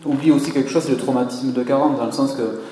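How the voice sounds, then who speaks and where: A man's voice: mid-range voice, fairly even intonation, fast, dark tone, slight background noise. A French man on a conference panel, speaking hesitantly and searching for his words. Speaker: conference co-speaker, magazine editor. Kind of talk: panel discussion. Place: conference hall. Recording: echoey hall with long reverb.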